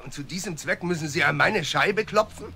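High, scolding shopkeeper. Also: male voice, a little fast, creaky, bright, forceful tone, breathy edge, full character voice. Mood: indignant. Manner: curt, scolding. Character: irritated, suspicious male shopkeeper watchmaker.

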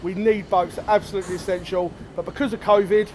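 A man's voice: sits high, fairly even intonation, quick pace, darkish tone, a little hiss or hum. A man, chatting cheerfully to camera outdoors about a road trip. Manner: cheerful, chatty, laughs often. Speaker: carp angler and presenter. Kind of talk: casual vlog to camera. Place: outdoors at a garage forecourt.

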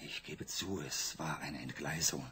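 A desperate, breathy banker. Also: male voice, extremely low pitch, measured pace, sharp tone, heavily acted. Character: cornered male banker, pleading, desperate. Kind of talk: 1980s German radio drama acting.

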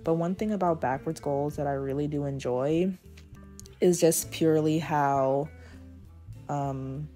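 Woman, twenties, hoarse, deep low voice, mellow tone, casual journaling vlog host. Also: soft background music. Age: 20s. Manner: deliberately speaking in a lower register. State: losing her voice, hoarse. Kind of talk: casual talk over journaling.

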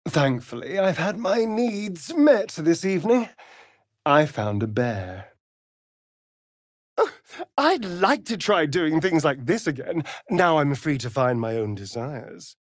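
A man's voice: a little high, very animated, slow pace, dry recording, clean studio sound, exaggerated voice. A male actor voicing a sly, vain vampire rogue in a fantasy video game, with an arch, flamboyant, sardonic drawl. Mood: seductive, pleased.

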